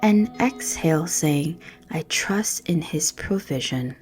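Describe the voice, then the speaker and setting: Casting: woman meditation guide, low-pitched, gentle and hushed, reading scripted prayer over music. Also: expressive, low-quality recording. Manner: gentle, soothing, hushed, measured phrasing.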